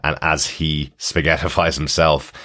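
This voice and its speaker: A man's voice: extremely low pitch, steady tone, measured pace, pushed and bright. A man co-hosting a podcast, casually recapping and commenting on television in descriptive, flowing explanations. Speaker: podcast co-host.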